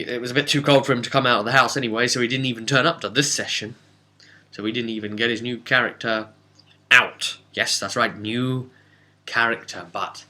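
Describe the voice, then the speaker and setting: Bright, forceful man recounting a tabletop game. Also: medium pitch. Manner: rambling, dramatic pauses and asides. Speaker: game master recapping tabletop sessions. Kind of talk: casual spoken recap and storytelling.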